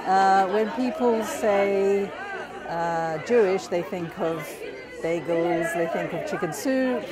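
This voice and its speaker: A woman giving hesitant, measured, explanatory answers in an interview. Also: medium pitch. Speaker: interviewee explaining community history. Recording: loud crowd chatter in busy hall. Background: crowd at a party.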